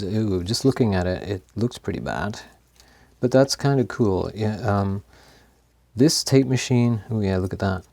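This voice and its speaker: A man casually talking through a tape machine repair, laughing often and pausing with lots of ums. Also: on the low side, a little mellow. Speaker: host of an electronics repair channel. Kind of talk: casual hands-on commentary.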